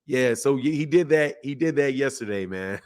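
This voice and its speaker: Hoarse man hosting a boxing livestream. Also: medium pitch, animated, normal speed, a little mellow. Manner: emphatic, repeats himself, calls listeners bro and man. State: hoarse, voice shot from yelling. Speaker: boxing channel host. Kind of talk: casual livestream commentary reading chat.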